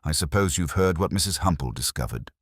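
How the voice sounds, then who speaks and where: Man putting on a smug, low-voiced village gossip woman. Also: animated, brisk, slightly rough, no echo, clean studio sound, heavily acted. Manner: prim, relishing gossip.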